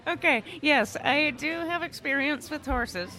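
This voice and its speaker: A woman's voice: high-pitched, pushed and bright, low-quality recording. A woman from the Okanagan with a slight country twang, chatting as a podcast guest and laughing easily. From Okanagan, British Columbia. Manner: laughs easily, quick affirmations. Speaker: guest, writer. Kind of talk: casual conversational interview.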